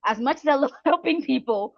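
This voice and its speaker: Higher-voiced encouraging woman, dull lo-fi sound. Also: animated, fairly quick, dry recording. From Republic of the Congo. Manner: encouraging, earnest, repeats phrases for emphasis. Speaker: guest speaker, coach and counselor. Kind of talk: motivational advice in an interview.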